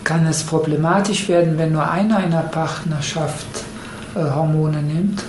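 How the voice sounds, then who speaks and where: A man's voice: a little high, fairly even intonation, normal speed, roomy sound, background noise. A man interviewing, asking short, polite questions.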